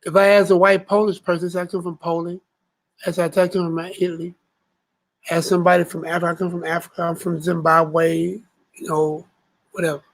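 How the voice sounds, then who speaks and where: A man with a fairly high voice hosts a loose, slangy group talk about scripture. He is animated and keeps the conversation moving, breaking into acted-out scenes as he makes his points. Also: a little fast, gravelly, low-quality recording. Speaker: talk show host.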